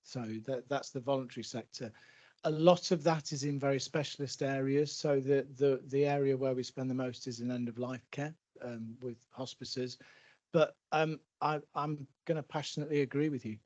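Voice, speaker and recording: A man answers a question at a public meeting in an earnest, emphatic way, often restarting his sentences. His voice sits at an ordinary middle pitch. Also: steady tone, moderate pace, a little mellow. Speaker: NHS executive answering questions.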